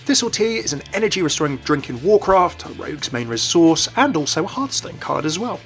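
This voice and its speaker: Fast-talking, higher-pitched male video narrator. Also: big pitch swings. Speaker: video narrator and game commentator. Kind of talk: scripted explainer narration. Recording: voice-over with light background music. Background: background music.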